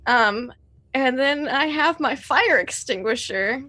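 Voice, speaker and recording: A woman's voice: high voice, animated, slow pace, sharp tone. A woman playing a tabletop roleplaying game, chatting casually in a playful way.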